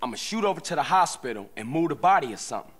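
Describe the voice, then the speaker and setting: Lively streetwise American gangster. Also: male voice, on the high side, very fast. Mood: firm, calming. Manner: slangy, reassuring, direct. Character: streetwise, level-headed young male gangster. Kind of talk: video game cutscene acting.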